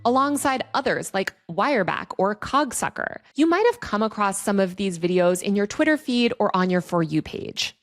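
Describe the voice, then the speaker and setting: A woman's voice: medium pitch, animated, normal speed, few pauses. A woman hosting an online video, delivering scripted explanatory commentary.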